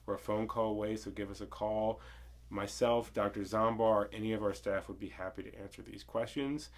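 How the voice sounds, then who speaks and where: A man in his thirties, a veterinarian getting over a cold, gives a plain, measured explanation to his clinic's clients. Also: fairly low pitch, steady tone. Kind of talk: informational clinic video.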